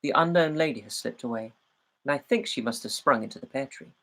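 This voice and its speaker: A man's voice: medium pitch, a little fast, a bit bright, lightly acted. A man voicing a courtly young prince in a storybook, plain and courteous.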